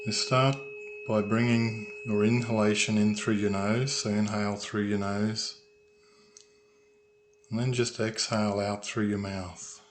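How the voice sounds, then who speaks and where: A man leading a guided meditation as a calm, unhurried instructor, leaving long pauses between phrases. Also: on the low side. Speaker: meditation guide, sound healer. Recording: noisy recording with ringing tuning forks. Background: humming tuning forks.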